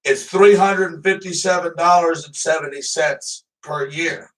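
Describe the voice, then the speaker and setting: A man's voice: fairly high pitch, steady tone, unhurried, a bit bright, far from the mic, low-quality recording. A man hosting a live stream, chatting casually and rambling loosely, swearing now and then. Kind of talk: casual livestream chat.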